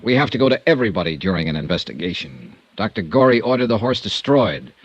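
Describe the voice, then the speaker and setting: Give the cool investigator of an old radio drama. He is wry and understated, with a lively, swinging delivery and a husky low voice. The sound is a dull, dry vintage broadcast recording. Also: no echo. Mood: firm, polite. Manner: dry, understated, measured first-person narration and talk. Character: cool, wry male freelance insurance investigator. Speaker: insurance investigator, narrator and lead. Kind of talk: 1950s radio detective drama acting.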